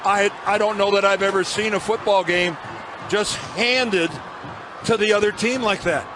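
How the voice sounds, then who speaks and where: A man commentating on college football on the radio, halting and stunned, searching for words. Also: high voice, steady tone. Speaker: color commentator. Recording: broadcast with background noise.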